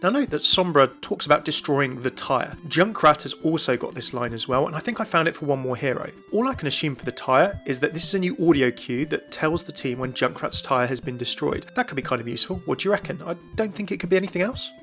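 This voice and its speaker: A man gives informal gaming commentary at a quick clip. His voice is bright and a little high, with light music underneath. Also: lively intonation. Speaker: gaming video host. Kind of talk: informal scripted-sounding video commentary.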